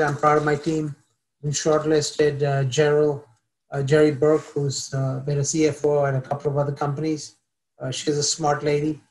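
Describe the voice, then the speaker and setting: A man, a startup founder, pitching his company to investors, halting with many fillers and restarts. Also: a little mellow, poor audio.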